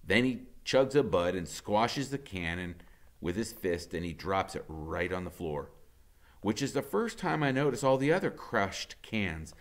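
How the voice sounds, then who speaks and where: A man reading a novel aloud like a teacher, with expressive, dramatic first-person storybook narration. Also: low voice, a little slow. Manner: animated, dramatic.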